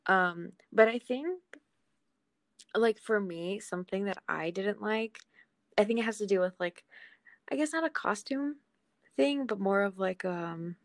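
A woman's voice: medium pitch, unhurried, pauses often, dry recording. Young woman chatting casually, dry sound. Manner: agreeable, rambling, frequent "like". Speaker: podcast co-host. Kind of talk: casual chat.

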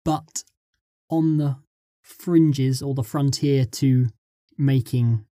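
A man's voice: medium pitch, fairly even intonation, very slow, mellow, no echo, clean studio sound. A man speaking as a podcast guest, giving brief, tentative answers in a casual interview. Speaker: podcast guest, script writer.

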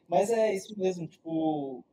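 A man's voice: sits high, warm tone, slight background noise, low-quality recording. A young man, co-hosting a casual podcast chat and explaining things in loose, meandering sentences with many restarts. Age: young adult. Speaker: co-host.

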